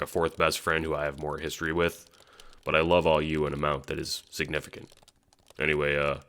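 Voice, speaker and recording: Man voices slacker teen boy for audio drama: very low, gravelly, halting, slangy, sincere and foul-mouthed. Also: pushed and bright, heavily acted.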